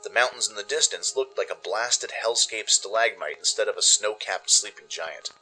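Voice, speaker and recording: Bright-voiced man narrating dramatic fiction. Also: lowish voice, moderate pace, rough recording. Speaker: narrator of a fan radio play. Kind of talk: first-person dramatic story narration. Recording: music under the narration. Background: music.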